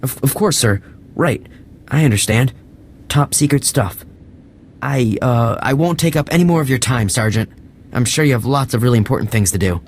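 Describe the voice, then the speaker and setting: A man's voice: medium pitch, expressive, moderate pace, slight background noise, close to an everyday voice. A young man playing an eager, deferential army private in a video game, speaking hesitantly and in awe. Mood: nervous, starstruck.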